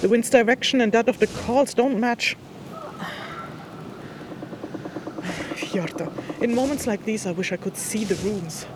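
A woman playing an earnest, wary young rune master in a fantasy audio drama, with a halting, questioning and insistent delivery. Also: a little high, very noisy, close to an everyday voice. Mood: pondering.

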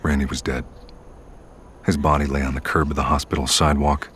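A man playing a somber storyteller, narrating an audio drama in the first person in a measured way. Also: rumbling low, little pitch movement, fairly bright tone, a little hiss or hum. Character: somber man recounting his friend's death.

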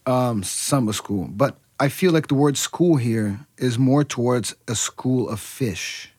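A man's voice: mid-range voice, takes it slowly, darkish tone, no room sound, clean studio sound. A man from Brazil, a musician, talking casually in an interview with many restarts and fillers. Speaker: musician guest.

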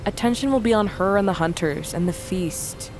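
A woman's voice: medium pitch, normal speed, darkish tone, slight background noise, lightly acted. Woman playing defiant exiled daughter of a queen. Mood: wry, hopeful. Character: defiant exiled young queen's daughter, stubborn, loyal sister. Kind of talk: audio fiction drama acting.

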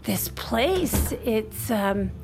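A woman's voice: on the high side, very animated, extremely slow, creaky, music in the background, heavily acted. An actress playing an awkward, flustered newly single mother in a naturalistic audio drama, speaking hesitantly and stammering nervously.

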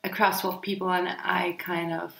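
A woman in her late twenties with a slightly low voice chats in a roomy, echoing kitchen. She answers casually and plainly, in a matter-of-fact manner. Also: level tone, moderate pace, vocal fry, a bit bright, slight background noise. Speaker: partner being interviewed. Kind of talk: casual home conversation. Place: kitchen, at the table during a meal. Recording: roomy echoing home recording.

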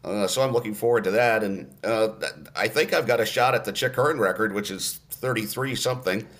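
A man in his late sixties talks through his memories at an easy, unhurried pace. His voice sits low, and he often trails into fillers before picking the thought back up. He sounds like a seasoned radio announcer chatting in a podcast. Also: fairly bright tone. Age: 60s. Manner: unhurried storyteller with many fillers and restarts. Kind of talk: relaxed reminiscing in an interview.

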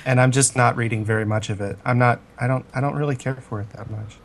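A man of medium pitch chats casually as a podcast co-host. His manner is deadpan and rather blunt. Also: fast talker, vocal fry, slight background noise.

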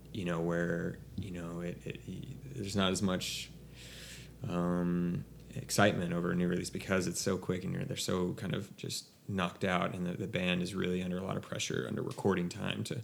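A man's voice: deep voice, vocal fry, slight background noise. A young man chatting casually about music on a podcast, rambling with many restarts. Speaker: podcast co-host.

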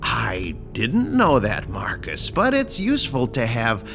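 Coolly menacing crime boss, wildly swooping pitch. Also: male voice, wildly swooping pitch, creaky, music in the background, exaggerated voice. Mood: quietly menacing. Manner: polite, precise, coolly deliberate. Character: calm, controlling, menacing male crime boss. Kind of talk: audio horror drama acting.

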